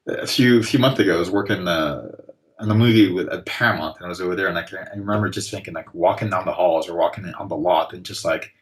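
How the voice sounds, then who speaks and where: A man in his forties, a guest artist chatting casually on a podcast, relaxed and rambling with lots of fillers. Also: deep voice, fast talker. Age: 40s.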